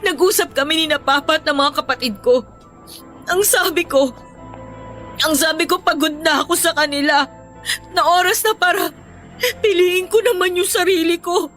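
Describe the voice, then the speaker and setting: A betrayed young bride-to-be with a Filipino accent. Her voice is extremely high and sharp, full of melodramatic hurt and accusation, as heard in a radio love drama with faint music underneath. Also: normal speed, pauses often. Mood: tearful pleading. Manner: melodramatic, emotional, pleading and accusing. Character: betrayed, tearful young fiancée, hot-tempered and wounded.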